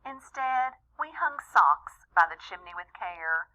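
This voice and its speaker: A woman reading a rhyming children's story aloud in an expressive, deliberate way, as a storyteller talking to young listeners. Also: lowish voice, rough recording. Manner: animated, deliberate, with small asides to young listeners.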